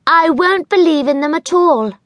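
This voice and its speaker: Woman playing a snooty high-voiced young girl. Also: normal speed, a bit bright, no echo. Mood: scornful. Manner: haughty, emphatic, dismissive. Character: snooty, dainty young girl, scornful sceptic. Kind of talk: children's audiobook character voice.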